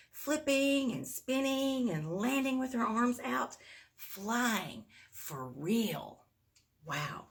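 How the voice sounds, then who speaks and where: A woman reading a children's bedtime story aloud, with expressive emphasis and little asides to young listeners. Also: lowish voice, vocal fry. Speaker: storybook reader at a performing arts school.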